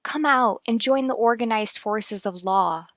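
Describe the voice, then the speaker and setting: A woman's voice: on the high side, a little slow, fairly bright tone, no room sound, clean studio sound. An actress playing a hero's worried, devoted female companion in a radio drama, earnest and pleading. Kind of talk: old-time radio drama acting.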